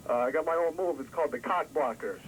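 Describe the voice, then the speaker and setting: A man's voice: fairly high pitch, fast. A man prank calling a live show over the phone, casual and feigning sincerity. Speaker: prank caller. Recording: noisy phone line on old TV tape.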